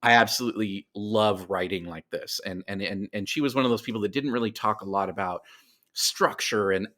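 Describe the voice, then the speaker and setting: A man's voice: fairly low pitch, a little fast, bright, forceful tone. An American man in his forties telling stories casually as an interview guest, in a rambling, self-deprecating way. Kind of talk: casual conversational storytelling.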